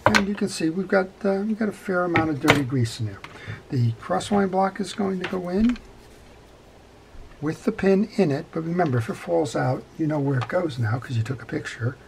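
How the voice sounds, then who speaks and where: A man's voice: on the high side, animated, moderate pace, creaky, background noise. A middle-aged man giving an unscripted hands-on repair tutorial in a methodical, plain-spoken way at an indoor workbench. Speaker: fishing reel repair instructor.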